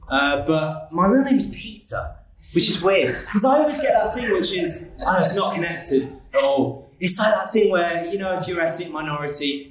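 A man's voice: on the high side, fairly bright tone. A young man telling funny, self-deprecating stories about his life to an audience. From England, Manchester area. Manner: self-deprecating, joking, quick asides. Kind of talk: comedic personal storytelling. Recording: echoey hall from far off.

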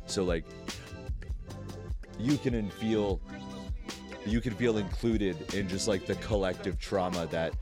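A man with a very low voice talks as a podcast guest, thoughtful and candid, catching on words now and then with a slight stutter and plenty of restarts. Music plays clearly behind him the whole time. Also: takes it slowly, long pauses. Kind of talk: casual podcast conversation.

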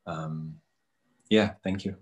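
A man from Bosnia, a spiritual coach, answering interview questions in a hesitant, musing way with many pauses. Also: low-pitched, steady tone, darkish tone.

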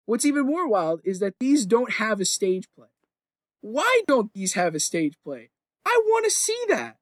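A podcast host talks in a casual, opinionated ramble. The voice sits at a middling pitch, recorded dry with little room sound. The delivery is emphatic and sweary, circling back to repeat phrases for emphasis. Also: sing-song, measured pace, dry recording.

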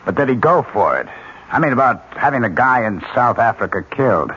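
An actor playing an uneasy, skeptical colleague with a conscience, plain-spoken and hesitant, in a radio mystery drama. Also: male voice, mid-range voice, very animated, brisk, a bit bright. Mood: doubtful. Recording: dull, noisy old radio recording.

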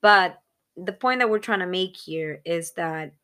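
A woman's voice: lowish voice, measured pace, sharp tone, clean tone. A woman in her thirties co-hosting a casual podcast chat, earnest and reflective, talking in long run-on thoughts. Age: 30s. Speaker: podcast co-host.

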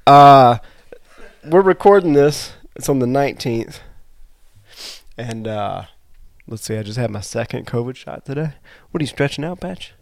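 Gravelly, congested podcast host. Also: male voice, medium pitch, animated, moderate pace, dry recording. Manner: loose, laughing, rambling. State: congested from allergies. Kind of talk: casual chat.

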